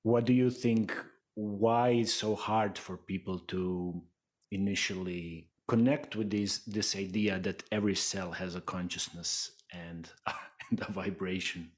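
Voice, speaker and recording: A man's voice: sits low, fairly even intonation, measured pace. A man hosting a podcast, asking curious, probing questions of his guest. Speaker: podcast host. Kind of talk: interviewing in a podcast.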